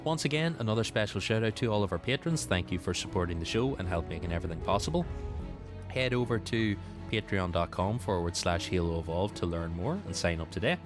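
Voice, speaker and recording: A man's voice: low voice, expressive, a little fast, music in the background. A man hosting a podcast in a casual, conversational style. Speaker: podcast host.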